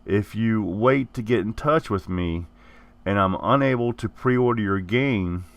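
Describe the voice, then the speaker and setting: Deep-voiced man getting over the flu. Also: measured pace, no room sound. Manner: rambling, repeats and corrects himself. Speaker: gaming channel host. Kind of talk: casual giveaway announcement.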